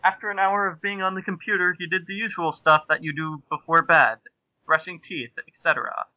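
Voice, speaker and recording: Guy reading fanfic as narrator, very bright forceful voice, kinda high, hammy and amused. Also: steady tone, brisk, no room sound, muffled recording. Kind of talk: comic dramatic reading of amateur fan fiction.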